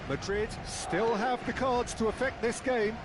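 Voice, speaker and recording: A man's voice: very high-pitched, measured pace. A man giving play-by-play football commentary for a video game, over match ambience. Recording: loud game and crowd noise behind. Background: football game stadium ambience, ball thuds.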